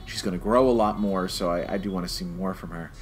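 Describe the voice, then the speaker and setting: A man's voice: low-pitched, quick pace, slight background noise. A man co-hosting a podcast, giving his opinions in a casual, conversational review chat. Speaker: podcast co-host.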